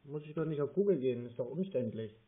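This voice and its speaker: A man chatting casually and hesitantly, rambling and slow to get to the point. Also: medium pitch, expressive. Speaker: scam-baiting YouTuber playing a naive customer on the phone. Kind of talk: casual phone chat. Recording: narrow, tinny phone-like sound.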